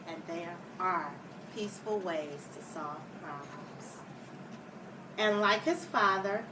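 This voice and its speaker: A woman reading a children's picture book aloud like a schoolteacher, deliberately, with explanatory asides for young listeners. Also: medium pitch. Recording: distant mic, clear background noise.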